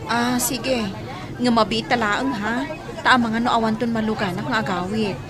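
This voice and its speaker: A woman's voice: a little high, fairly even intonation, moderate pace, crowd noise, noisy background, lightly acted. A woman with an Ilocano accent acting in a radio drama as a frank, concerned elder sister giving advice. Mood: friendly, curious. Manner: frank, advising. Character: frank, concerned older sister of man's former sweetheart.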